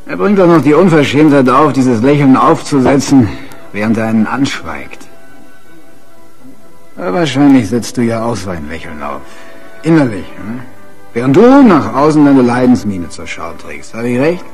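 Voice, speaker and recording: Volatile, sneering, bullying husband. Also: male voice, medium pitch, big pitch swings, moderate pace, a little mellow, faint music behind. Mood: sneering contempt. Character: menacing, bullying husband, taunting and contemptuous. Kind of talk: German radio crime drama acting.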